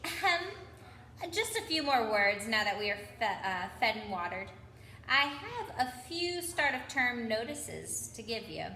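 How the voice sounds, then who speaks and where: Storybook old wizard headmaster, played by a woman: kindly, eccentric, stately, bright and high. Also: big pitch swings, a little slow, reverberant, slight background noise, heavily acted. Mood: stately announcing. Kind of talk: children's storybook character reading.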